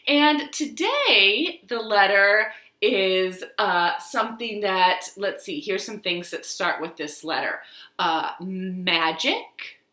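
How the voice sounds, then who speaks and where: A woman hosting storytime for young children, speaking in an expressive, encouraging sing-song way in a living room. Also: lowish voice. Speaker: children's storytime librarian host. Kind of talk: children's storytime, talking and reading aloud to young kids. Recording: roomy home living room echo.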